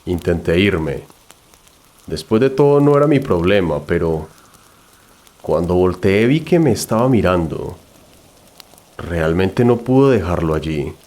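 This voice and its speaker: A man with a low, deep voice tells a horror tale in the first person. He reads it as a scripted story, in the role of narrator. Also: lively intonation, unhurried, pauses often, a little mellow.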